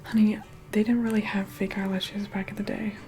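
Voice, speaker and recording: A woman's voice: medium pitch, flat delivery, fairly quick, soft background music, close to an everyday voice. Whispering, begrudging female vampire acted by a woman. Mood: hushed, instructing. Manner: hushed, begrudging, bossy. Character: reluctant, haughty female vampire begrudgingly helping with makeup. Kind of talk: whispered ASMR roleplay.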